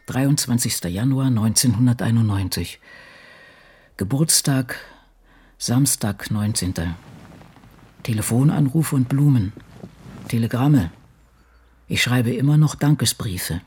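A man's voice: mid-range voice, animated, a little slow, long pauses, darkish tone, a little hiss or hum, natural voice. A male actor playing an embittered, solitary seventy-year-old woman writer in a radio drama, reading out a diary in dry, clipped, muttered fragments. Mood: weary, dry.

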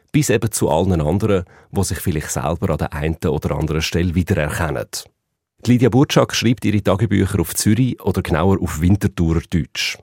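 Swiss german guy narrating a radio feature, really low voice, dry studio sound. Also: a little mellow, breathy edge, dry recording. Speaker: radio presenter. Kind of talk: scripted narration.

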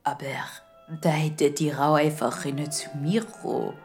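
A woman's voice: sits low, steady tone, normal speed, a little mellow, soft background music. A woman playing a caring, protective neighbour in a children's radio play, chatty and matter-of-fact with a Swiss German accent. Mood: friendly.

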